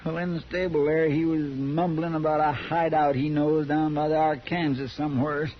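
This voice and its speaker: An actor playing a loyal, folksy western deputy with an American Western drawl, in 1950s radio drama style. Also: male voice, fairly high pitch, level tone, normal speed, natural voice. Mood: eager. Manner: folksy, plain-spoken. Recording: muffled, noisy 1950s radio recording.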